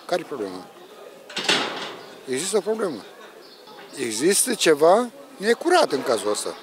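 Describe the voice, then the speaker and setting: A man's voice: a little high, wildly swooping pitch. A man complaining in a street interview, questioning a decision as a member of the public. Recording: street interview with crowd noise.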